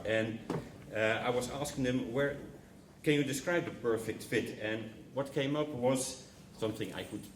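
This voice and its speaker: A man's voice: medium pitch, slight background noise. Hesitant man speaking in a roomy hall. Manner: hesitant, many fillers. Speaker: broadcasting executive welcoming guests. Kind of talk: formal welcome speech in English. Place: hall at a contract signing ceremony. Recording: roomy, distant hall sound.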